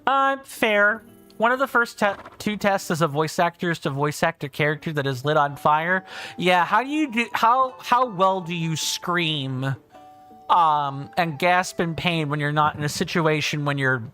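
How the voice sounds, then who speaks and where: A man livestreaming a game, chatting casually and reading out viewer comments, with a big booming voice. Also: animated, unhurried, pushed and bright, soft background music. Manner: chatty, thinks aloud, reads viewer comments. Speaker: streamer. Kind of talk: casual gameplay livestream chat.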